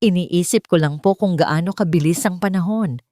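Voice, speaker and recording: A woman's voice: fairly low pitch, normal speed, warm tone, no room sound, clean studio sound, close to an everyday voice. A woman with a Filipino accent voicing a humble, tender-hearted young housemaid in a romantic audio drama, polite and deferential. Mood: wistful.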